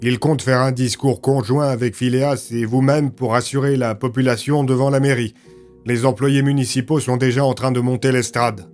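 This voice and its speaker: A man's voice: mid-range voice, fairly even intonation, moderate pace, darkish tone, faint music behind, close to an everyday voice. Man playing a formal, dutiful police officer. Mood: businesslike. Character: dutiful police officer relaying messages to his superior.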